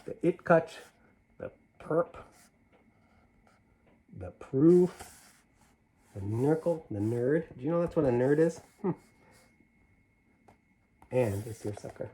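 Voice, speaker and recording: A man's voice: mid-range voice, lively intonation, takes it slowly, pauses often, warm tone. A man reading a rhyming children's storybook aloud in a playful, theatrical way, dropping in conversational asides to listeners. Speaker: storybook reader.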